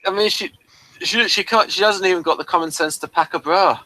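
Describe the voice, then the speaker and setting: A man hosting a casual review chat, speaking haltingly and often repeating his phrases. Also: sits high, sharp tone, low-quality recording. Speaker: host of review discussion.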